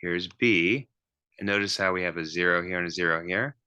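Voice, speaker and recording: A man's voice: low-pitched, fairly quick, bright, forceful tone, clean tone. A man giving a recorded math lecture, thinking aloud with frequent ums and restarts.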